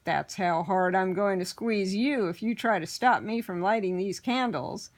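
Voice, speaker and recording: A woman's voice: medium pitch, measured pace, natural voice. A woman voicing a clever, bold man who teases and outwits goblins in a storybook reading. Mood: teasing. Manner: bright, confident, teasing.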